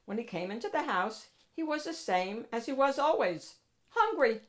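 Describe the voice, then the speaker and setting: A woman reads a children's picture book aloud as host, with expressive, dramatic emphasis. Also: a little high, moderate pace. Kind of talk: animated storybook narration for children.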